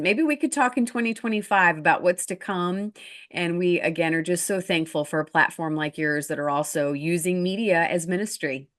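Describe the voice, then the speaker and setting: A woman's voice: fairly low pitch, a bit bright. A woman hosting a talk show, chatting in an upbeat, informal way as she interviews a guest. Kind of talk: casual interview chat.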